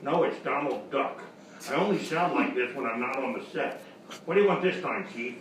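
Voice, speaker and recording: An actor playing a wisecracking, down-on-his-luck hard-boiled private eye, deadpan and sardonic, in a radio noir parody. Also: medium pitch, moderate pace, close to an everyday voice. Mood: gruffly sarcastic. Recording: very echoey, distant, noisy live stage.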